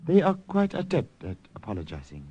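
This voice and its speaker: An actor playing a dignified, diplomatic Regent of Siam in an old-time radio drama, with stately, formal phrasing. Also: male voice, a little high, wildly swooping pitch, fairly quick, warm tone, husky, lo-fi sound, heavily acted. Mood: calm, wry. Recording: vintage radio drama recording.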